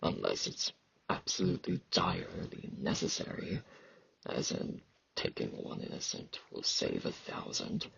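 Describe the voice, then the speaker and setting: A man acts out a gruff, aloof knight bred for war in an ASMR fantasy roleplay. His deep voice is heavily creaky and husky, with sibilant S sounds. He talks in terse, halting phrases, socially awkward and low-key, close to the mic in a slightly dull recording. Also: big pitch swings, fairly bright tone, no echo, full character voice. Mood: resigned, stoic. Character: gruff, aloof young battle-hardened genetically modified fantasy knight.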